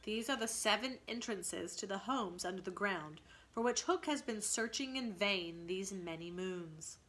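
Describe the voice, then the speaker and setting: A woman reading a storybook aloud as a teacher, in an expressive, instructive way. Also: medium pitch, measured pace. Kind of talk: storybook read-aloud with explanations.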